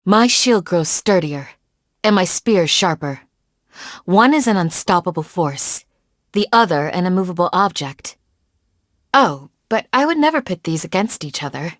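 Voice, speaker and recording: A woman playing a calm, devoted village guardian in a video game, speaking in a measured, formal, gently solemn way. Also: on the low side, no room sound, clean studio sound. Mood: calm, protective. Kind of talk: scripted game character voice lines.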